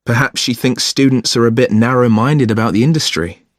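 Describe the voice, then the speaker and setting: A man's voice: mid-range voice, a little fast, dry recording, studio quality, close to an everyday voice. An actor playing an agreeable, realistic young male student in a scripted, conversational listening-test dialogue. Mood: chatty, agreeable. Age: young adult. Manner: conversational, questioning.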